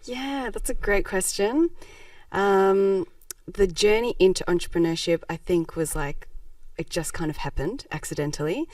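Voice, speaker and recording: A woman's voice: medium pitch, a little slow, darkish tone, dry recording. A Japanese-born woman, reflecting thoughtfully as a podcast guest and laughing often. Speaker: guest, social enterprise founder. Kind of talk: conversational podcast interview. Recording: dry, slightly distant mic.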